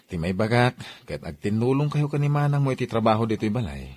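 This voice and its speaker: A man's voice: mid-range voice, very animated, fast talker, a little mellow. A man playing a needy, coaxing husband in an audio drama, with an Ilocano accent. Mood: welcoming. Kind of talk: dramatized radio-style story acting.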